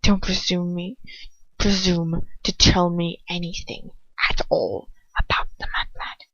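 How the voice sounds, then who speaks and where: A woman voicing a cold, possessive male villain in an audiobook, murmuring slowly with mocking condescension. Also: high voice, flat delivery, dry recording, highs cut off, full character voice. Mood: malicious. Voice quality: hushed, murmuring, breathy whisper. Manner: slow, malicious, condescending.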